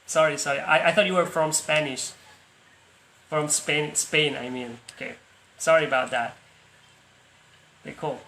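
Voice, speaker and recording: A man's voice: on the high side, fairly even intonation, normal speed, pauses often, sharp tone, roomy sound, slight background noise. A young man with an Indonesian accent, hosting a casual practice video call and talking in a hesitant way with frequent restarts. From Palu, Central Sulawesi, Indonesia. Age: young adult. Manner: hesitant, restarts often, laughs easily.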